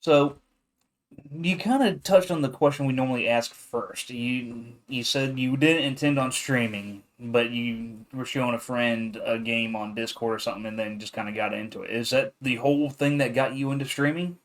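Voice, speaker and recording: A man hosting a livestreamed podcast in casual chat, relaxed and stumbling over his first words. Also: mid-range voice, moderate pace. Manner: relaxed, repeats words when starting sentences. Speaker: podcast host.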